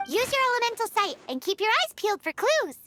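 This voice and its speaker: Super high squeaky little fairy kid for a game, perky n teasing, very animated. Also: female voice, fast talker, over music. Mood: eager, curious. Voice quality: squeaky, childlike. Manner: refers to herself by name, exclaims, teasing. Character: tiny childlike floating fairy companion, perky, cheeky girl. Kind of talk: video game voice acting.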